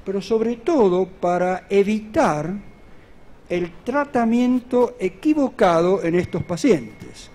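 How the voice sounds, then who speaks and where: A man's voice: sits high, warm tone. Earnest middle-aged man lecturing at a podium. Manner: earnest, measured explaining with rhetorical questions. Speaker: medical conference lecturer. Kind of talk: academic conference lecture. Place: large conference hall at a podium. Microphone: podium microphone on a stand.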